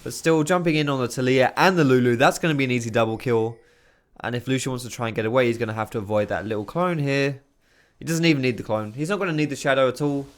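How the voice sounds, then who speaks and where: A man's voice, a little hoarse and worn from talking, narrates game footage in a quick, excitable play-by-play. He sits at a home desk mic, reacting to each big moment with loose, slangy enthusiasm. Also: mid-range voice. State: hoarse, losing his voice. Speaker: video host. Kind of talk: gameplay commentary.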